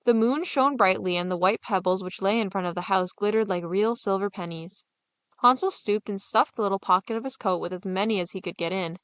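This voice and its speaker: A woman's voice: mid-range voice, brisk, no echo. A woman storyteller reading a scripted fairy tale as narration.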